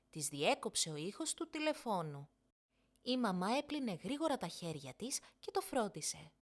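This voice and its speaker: A woman narrates a story for children. Her voice sits at a medium pitch and moves up and down a lot, in an expressive read-aloud storytelling style. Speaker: audiobook narrator.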